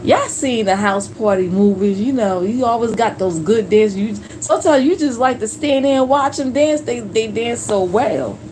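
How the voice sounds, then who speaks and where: A woman in her late forties chatting casually on a live stream, telling stories in a relaxed, slangy way. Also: fairly high pitch, moderate pace, few pauses, slight background noise, rough recording. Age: 40s. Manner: relaxed storytelling with slang and laughs.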